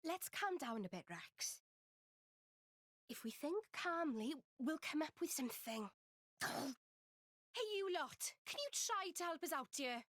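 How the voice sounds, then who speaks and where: A woman's voice: high-pitched, big pitch swings, unhurried, long pauses, a bit bright, no echo, clean studio sound, full character voice. A woman playing a sassy, impatient but loyal young companion in a video game, with a brash, teasing manner. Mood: urging. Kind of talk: video game cutscene acting.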